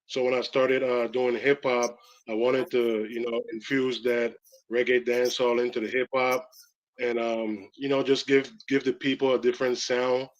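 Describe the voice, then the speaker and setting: Flat-voiced Belizean man, halting. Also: mid-range voice, highs cut off. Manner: halting, many fillers. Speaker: rapper guest. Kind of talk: casual interview answers.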